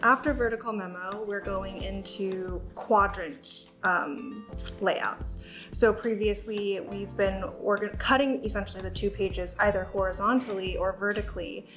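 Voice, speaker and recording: A woman from Taiwan co-hosting a casual stationery unboxing, calmly walking through planner layouts with fillers. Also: mid-range voice, soft background music. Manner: explanatory, measured walkthrough with fillers. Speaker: stationery shop co-host. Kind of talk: casual product unboxing chat.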